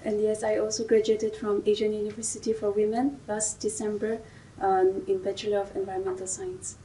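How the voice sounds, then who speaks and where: Young woman from India, mellow, distant. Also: medium pitch, a little fast. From Manipur, India. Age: 20s. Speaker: recent graduate. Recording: distant mic, faint ambience.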